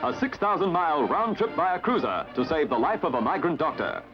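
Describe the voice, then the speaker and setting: A man's voice: fairly high pitch, very animated, a little fast, dull audio, music in the background. A man with an Australian accent narrating in scripted 1950s newsreel style, with a theatrical, jaunty announcer delivery. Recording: band-limited vintage 1950s newsreel soundtrack.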